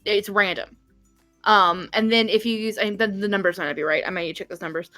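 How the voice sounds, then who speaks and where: A woman's voice: mid-range voice, fairly even intonation, fast talker, pushed and bright, dry recording, faint music behind. Woman game developer on a livestream: middle register, informal, wandering, explanatory chat. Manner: rambling, frequent "like", casual swearing. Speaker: game developer guesting on a stream.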